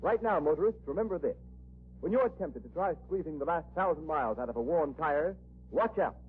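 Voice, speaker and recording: An actor working as an emphatic, dramatic 1940s radio announcer, delivering an advertising warning. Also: male voice, fairly high pitch, expressive, fairly quick, close to an everyday voice. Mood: urgent, cautioning. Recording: scratchy old radio broadcast, muffled, hum.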